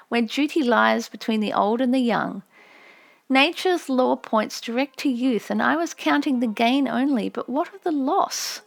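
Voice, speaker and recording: High-voiced woman narrating an audiobook. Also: vocal fry. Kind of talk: expressive scripted audiobook narration.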